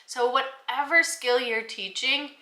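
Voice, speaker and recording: A woman's voice: high-pitched, steady tone, measured pace, pushed and bright, echoey room. A woman giving an informal instructional talk as an educator, in an explanatory, conversational way. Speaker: educator presenting classroom book recommendations.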